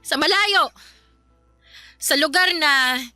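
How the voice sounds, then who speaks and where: An actress playing an exhausted, resentful grown daughter in a Filipino radio drama, with a Filipino accent and an emotional, pleading, halting delivery. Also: female voice, high voice, bright, forceful tone, no room sound, soft background music, exaggerated voice. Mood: weary hurt. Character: exhausted, resentful adult daughter fed up with caregiving.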